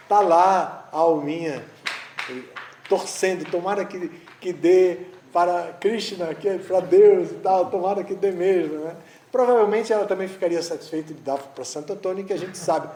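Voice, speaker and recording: Man, devotional talk, high voice, conversational, roomy echo. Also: darkish tone. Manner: conversational, with fillers and frequent restarts. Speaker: spiritual teacher giving a talk. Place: eco-village gathering, roomy space.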